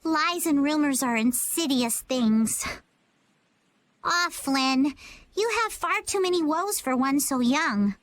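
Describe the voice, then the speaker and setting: A woman's voice: sits high, lively intonation, takes it slowly, no echo. A woman voicing a chipper, quirky young pirate girl in an anime game dub, self-assured and speaking in old-fashioned slang. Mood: playful, musing. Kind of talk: English-dubbed anime game voice acting. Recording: music under the voice. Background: music.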